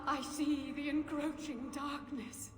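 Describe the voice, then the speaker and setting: A reverberant hall carries this ancient female statue spirit from a fantasy game. Her delivery is very slow and portentous, full of distress, with noisy ambience behind. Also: high-pitched, little pitch movement. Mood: ominous distress. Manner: slow, ominous, portentous pronouncements. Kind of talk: fantasy video game voice acting.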